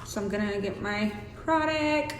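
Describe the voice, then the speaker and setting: A woman's voice: mid-range voice, measured pace. A young woman with a Mexican accent doing a casual, profane makeup tutorial with chatty asides. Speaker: beauty vlogger. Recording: echoey room with hiss.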